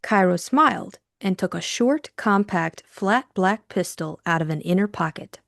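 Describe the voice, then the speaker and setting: A woman's voice: mid-range voice, lively intonation, measured pace, gravelly, a bit bright, dry recording, studio quality. A woman reading scripted audiobook narration of a detective novel.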